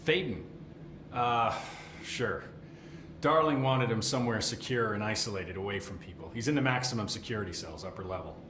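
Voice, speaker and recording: A man's voice: on the low side, moderate pace, a bit bright, lightly acted. An actor playing a middle-aged, nervous and deferential facility supervisor in a video game, hesitant and rambling as he talks. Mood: nervous, apologetic. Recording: roomy echo, faint background noise.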